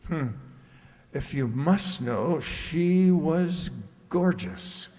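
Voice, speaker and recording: A man's voice: on the high side, animated, drawn-out words, darkish tone. A man playing a vain, blustering middle-aged husband, pompous and exasperated, in a radio comedy. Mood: flustered, coy. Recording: echoey hall, long reverb.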